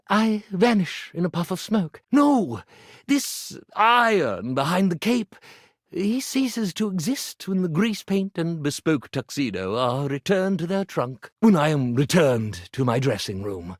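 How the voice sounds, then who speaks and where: A man voicing a grandiose stage magician for a video game, an animated iron who declaims in a pompous, florid, melodramatic way. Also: a little high, no echo, clean studio sound. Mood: melancholy. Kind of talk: video game character voice acting.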